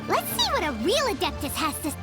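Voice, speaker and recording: A woman playing a tiny, childlike fairy companion in a game, chatty, excitable and exclamatory. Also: extremely high pitch, brisk, full character voice. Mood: curious. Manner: speaks of herself in third person, exclamatory. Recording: loud game music behind. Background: game music.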